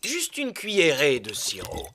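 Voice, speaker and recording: A performer voicing a calm, kindly doctor bear in a children's cartoon dub, with a reassuring manner. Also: mid-range voice, measured pace, a little hiss or hum, heavily acted. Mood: explaining. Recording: clear music behind.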